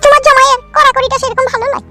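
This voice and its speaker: A plaintive young cartoon wife talks in a coy, chatty way with a rural West Bengal accent. The voice is artificially squeaky and races along over clear music. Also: male voice, fairly even intonation, racing. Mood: coy, complaining. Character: young newlywed village wife, coy, complaining. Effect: pitched-up voice. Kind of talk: comic cartoon dialogue.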